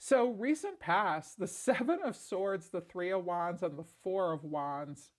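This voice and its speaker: A woman with a congested, raspy voice doing a chatty tarot reading, full of "like" fillers. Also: lowish voice, expressive, unhurried. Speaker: tarot reader. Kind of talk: casual tarot reading to camera.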